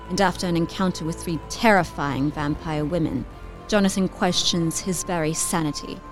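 A woman's voice: on the low side, darkish tone, rough recording. A woman giving scripted dramatic narration as announcer of an audio drama.

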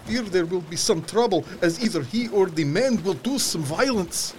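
A man playing a troubled sea captain who reads out his ship's log in terse, deliberate phrases. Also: high voice, expressive, darkish tone, a little hiss or hum. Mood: uneasy, dread. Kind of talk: dramatic, clipped ship's log narration. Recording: water and boat ambience behind voice.

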